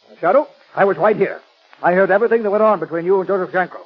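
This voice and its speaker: Blustery gentleman commissioner, formal, pitched high, lo-fi vintage radio sound. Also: very fast, soft background music. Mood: frustrated. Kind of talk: 1930s radio drama acting. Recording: dull lo-fi 1930s radio recording.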